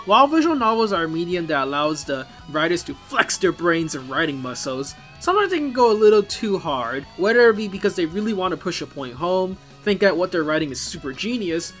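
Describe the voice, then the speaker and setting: A man with a fairly high voice delivers scripted video essay commentary over music. His pitch moves around a great deal as he explains things, and his phrasing is informal and opinionated. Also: bright, forceful tone. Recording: music behind the voice.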